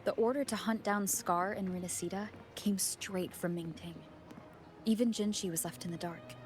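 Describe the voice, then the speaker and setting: A woman's voice: medium pitch, steady tone, normal speed, faint music behind, lightly acted. A woman playing a serious, suspicious investigator in a video game, with a terse, probing delivery. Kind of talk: game dialogue.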